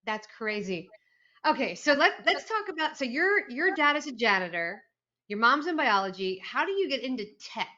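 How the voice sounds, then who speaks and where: A woman's voice: high voice, big pitch swings, moderate pace, bright, forceful tone. A woman hosting a podcast interview, chatty and eager as she asks questions. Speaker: podcast host. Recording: muffled remote podcast audio.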